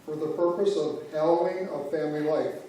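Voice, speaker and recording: A man's voice: on the high side, fairly even intonation, a little fast, a crowd in the background, lightly acted. A man playing a prayerful minister at a groundbreaking. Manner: prayerful, formal. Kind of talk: reader's theater. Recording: echoey church sanctuary, distant mic.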